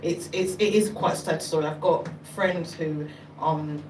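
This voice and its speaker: A woman's voice: low voice, fairly even intonation, unhurried, a little mellow. A woman on a panel, speaking thoughtfully and steadily in an open discussion. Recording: echoey room, distant mic, noisy.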